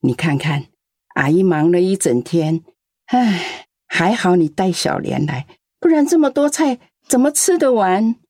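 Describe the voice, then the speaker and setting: A woman's voice: lowish voice, lively intonation, unhurried, warm tone, no room sound, clean studio sound. An actress playing a lonely, doting elderly grandmother in a radio drama. She talks in a coaxing, chatty way and coughs now and then. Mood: affectionate. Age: older.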